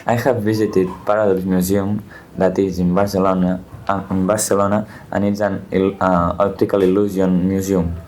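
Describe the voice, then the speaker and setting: A teenage boy from Spain speaks with a low, flat voice. He talks in a halting way, correcting himself often. Faint hiss sits behind him. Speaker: student. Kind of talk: podcast discussion.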